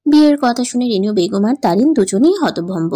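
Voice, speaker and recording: Mellow female story narrator, dry sound. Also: fairly high pitch, lively intonation, fairly quick, husky, no echo. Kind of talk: scripted dramatic story narration.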